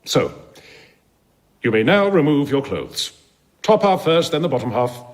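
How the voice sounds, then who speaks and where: A man's voice: fairly high pitch, very animated, a little slow. An actor playing an officious male civic official in a radio drama, with a pompous, formal, commanding manner.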